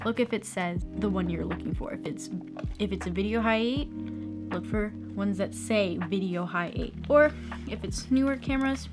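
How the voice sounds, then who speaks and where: A woman's voice: mid-range voice, normal speed. A young American woman explaining things in a casual, chatty vlog style, recorded indoors in a small room. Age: young adult. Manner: chatty, informal. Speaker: video tutorial presenter. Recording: music playing behind the voice. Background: plucked guitar music.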